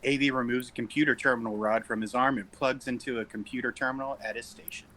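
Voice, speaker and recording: A man's voice: medium pitch, fairly even intonation, fast talker, sharp tone, poor audio. A man narrating script directions in an informal read-through, stumbling on words and correcting himself. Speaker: narrator reading stage directions.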